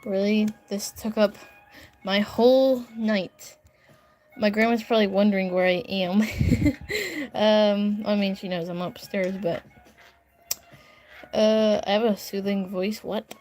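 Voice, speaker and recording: A woman's voice: medium pitch, fairly even intonation, slow pace, long pauses, darkish tone, soft background music. A young woman chatting casually on a livestream at home, rambling, giggling and getting sidetracked while reading comments. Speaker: livestreaming artist. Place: home bedroom upstairs.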